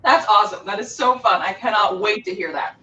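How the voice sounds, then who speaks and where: A woman's voice: medium pitch, brisk, bright, forceful tone, lo-fi sound. A woman hosting a casual interview, chatting with effusive enthusiasm. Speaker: interviewer and show host. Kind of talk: casual video interview chat. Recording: distant mic with faint background noise.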